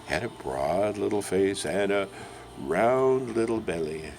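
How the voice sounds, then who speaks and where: A jolly old Father Christmas acted out by a man recites a storybook poem with theatrical relish. The delivery is slow and swoops widely in pitch, lingering on phrases. Faint noise sits behind the voice. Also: animated, a little mellow, full character voice. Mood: merry. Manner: dramatic, savoring phrases with long pauses.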